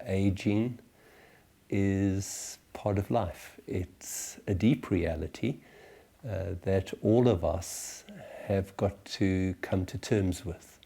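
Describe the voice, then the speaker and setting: A low, mellow male voice in his sixties with a South African accent. He teaches reflectively, choosing words deliberately and leaving hesitant pauses as he goes. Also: creaky, husky. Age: 60s. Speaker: church teacher leading a series. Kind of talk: reflective spoken teaching for small groups.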